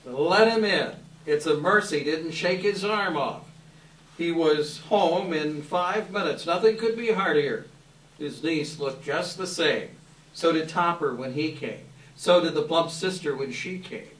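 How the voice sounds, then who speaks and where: A man narrates a story on stage in a readers theater. His voice sits a little high and comes from some distance in an echoey hall. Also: measured pace, a bit bright. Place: live event hall.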